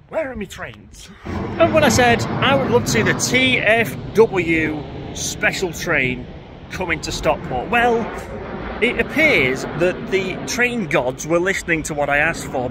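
Chatty man at a station. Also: high-pitched. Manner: chatty, excitable, talks to camera while watching trains. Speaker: train-spotting vlogger. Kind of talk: casual vlog commentary. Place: outdoors on a railway station platform. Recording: outdoor station noise behind the voice. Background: passing trains and station ambience.

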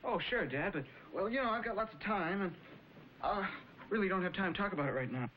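Impatient teenage son, American accent, higher voice, quick delivery, noisy vintage TV sound. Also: male voice, animated. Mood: evasive. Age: young adult. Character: eager, impatient teenage son of a rich man. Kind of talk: 1950s television drama acting. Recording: muffled, noisy old TV soundtrack.

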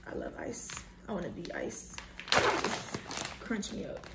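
A young woman in her twenties chatting casually to camera in a home kitchen, slangy and animated as she cooks. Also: on the low side, a little slow, gravelly, roomy sound, heavy background noise. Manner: chatty, slangy, animated, talks while cooking. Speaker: vlogger. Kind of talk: casual vlog chat to camera. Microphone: camera on a tripod at a distance.

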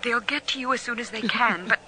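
A woman's voice: on the high side, brisk, sharp tone. An actress playing an anxious, protective mother in a radio drama. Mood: worried, firm. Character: anxious, protective mother soothing her daughter. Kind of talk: 1980s radio drama acting. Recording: noisy old radio drama broadcast.

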